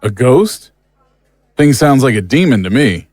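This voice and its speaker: A man's voice: mid-range voice, big pitch swings, a little slow, a little mellow, no room sound, clean studio sound. A man playing a blunt, plain-spoken and incredulous monster hunter in an audio drama. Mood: blunt, puzzled. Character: blunt, puzzled male member of a monster-hunting team.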